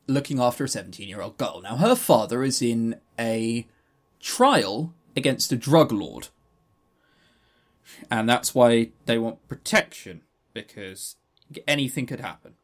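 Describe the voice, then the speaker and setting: A man's voice: medium pitch, animated, slow. Chatty, rambling male podcast co-host. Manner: rambling, chatty, stammering restarts. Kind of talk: casual game review, retelling a story.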